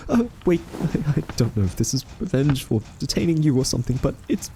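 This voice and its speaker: A man playing a timid, quirky scientist in an audio roleplay, soft-spoken, stammering and awkwardly polite. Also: mid-range voice, very animated, brisk, noisy background. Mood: flustered, startled. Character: timid, quirky male scientist held captive by a rogue AI. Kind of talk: audio roleplay acting addressed to listener.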